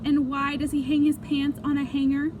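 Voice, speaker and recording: A high-voiced, haughty boy detective from a children's storybook, acted out by a woman reading aloud. He is melodramatic and indignant, speaking in grand formal phrases. There is clear noise behind the voice. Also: completely flat delivery, normal speed, natural voice. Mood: indignant complaining. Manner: overdramatic, haughty, formal wording.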